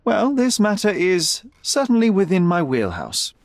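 A man's voice: high-pitched, wildly swooping pitch, unhurried, heavily acted. A man playing a young, brilliant detective in a video game, cool, rational and precise, with a slightly haughty manner. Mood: cool, probing. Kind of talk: video game cutscene acting.

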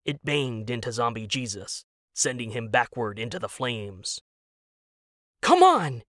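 A man narrating a scripted story as a dramatic audiobook, with deliberate, suspenseful delivery. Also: mid-range voice, fairly bright tone, dry recording, clean studio sound.

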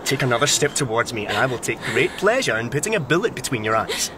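A man's voice: mid-range voice, very animated, quick pace, background noise, lightly acted. An actor playing a villainous, sneering gunman in a radio drama, mocking and menacing. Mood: mocking menace. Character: villainous, sneering male cousin brandishing a gun.

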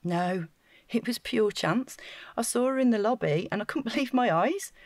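A woman's voice: fairly high pitch, lively intonation, moderate pace, no echo. An actress playing an earnest, naive council housing officer in an audio drama, chatty and flustered as she over-explains. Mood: explaining.

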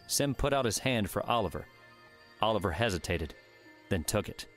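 Male narrator, low register, scripted fiction reading over soft music. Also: expressive, moderate pace. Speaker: audiobook narrator.

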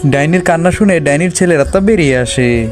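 High-pitched male children's story narrator. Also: lively intonation, brisk. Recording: music behind the voice.

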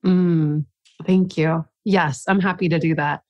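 A woman's voice: on the low side, steady tone, a little slow, a little mellow, no room sound. A woman author and coach, slightly stuffy from a cold, telling her story earnestly as a podcast guest. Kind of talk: casual podcast interview.

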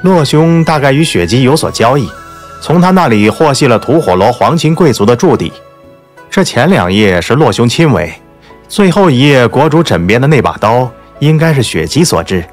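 A man playing a calm, shrewd strategist in a martial-arts audio drama, reasoning in a measured, deliberate way. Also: medium pitch, lightly acted. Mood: composed, analytical. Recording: music under the voice.

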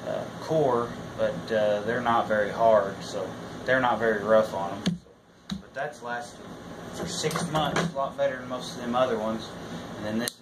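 A plain-spoken man giving a casual, halting walkthrough of his tools as a working plumber. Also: medium pitch. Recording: clearly noisy home video, steady hum.

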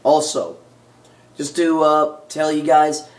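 A man with a hoarse, lost voice and a cough, giving rambling casual commentary on a video game. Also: a little high, flat delivery, takes it slowly, slight background noise. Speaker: gaming video host. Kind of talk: let's play commentary.

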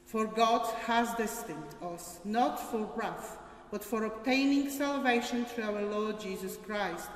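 A woman's voice: medium pitch, takes it slowly. An older woman giving a formal scripture reading at a church service. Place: large stone church, at a lectern. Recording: echoey church, distant mic.

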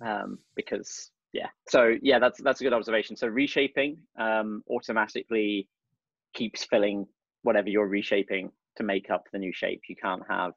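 A man talks through a programming lesson over a video call, pausing on frequent ums and correcting himself. His voice is low and gravelly, going a bit croaky. The call audio is dry and muffled. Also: fairly even intonation, no echo. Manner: hesitant, many ums, self-correcting. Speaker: tutorial presenter. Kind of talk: casual live coding lesson on a video call. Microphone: video call microphone.

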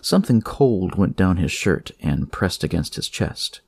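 A man narrating a horror story dramatically, in deliberate, suspenseful short phrases. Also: low voice, big pitch swings, creaky, a little mellow, breathy edge, no room sound, clean studio sound.

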